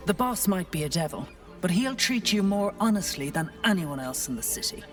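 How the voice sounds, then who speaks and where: Game role: devil's assistant, brash and persuasive, lively swoops in a slightly low register. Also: female voice, brisk, far from the mic, soft background music. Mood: brash, familiar. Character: mortal woman assistant and spy for a devil, pleading. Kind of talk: video game character acting.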